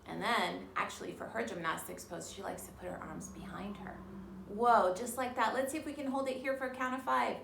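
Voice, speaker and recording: A woman's voice: medium pitch, fairly quick, gravelly, a bit bright. A woman leading a children's yoga storytime, giving simple, encouraging instructions to young children. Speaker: kids' yoga and storytime teacher. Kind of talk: guided children's yoga lesson. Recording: roomy, distant mic, faint background noise.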